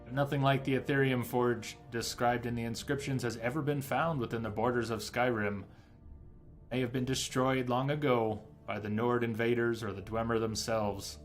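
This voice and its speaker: A man's voice: medium pitch, fairly even intonation, moderate pace, faint music behind. A man who streams games, talking through a playthrough and reading lore aloud to his viewers. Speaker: game streamer. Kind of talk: live game commentary and reading in-game lore aloud.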